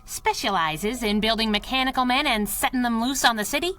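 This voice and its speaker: An actress playing a tough, wisecracking young masked sidekick in a 1930s-style radio adventure, brash and sardonic. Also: female voice, on the high side, fairly quick, sharp tone. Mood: brisk, hard-boiled.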